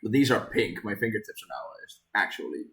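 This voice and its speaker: A man's voice: on the low side, big pitch swings, a little fast, vocal fry, a bit bright. A man chatting casually with a friend on a stream, matter-of-fact and dry, with a few chuckles. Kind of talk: casual chat.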